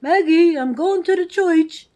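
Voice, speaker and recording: A woman plays a prank-loving older Italian-American husband calling out to his wife in a raised, loud voice. He teases and orders her around for fun, with a high, playful pitch. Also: unhurried. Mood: teasing.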